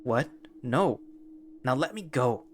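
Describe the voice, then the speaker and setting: A man's voice: medium pitch, lively intonation, takes it slowly, slight background noise. A man voicing a frightened, defiant young man who curses as he panics. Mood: panicked. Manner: panicked, cursing.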